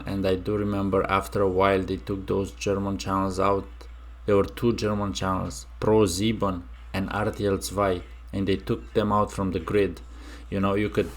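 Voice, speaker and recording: A young man from Romania talks through a reaction video in a low, gravelly voice with plenty of vocal fry. He speaks slowly and haltingly, trailing off and restarting his thoughts as he muses aloud. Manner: musing, halting, with many fillers and restarts. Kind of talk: casual reaction commentary.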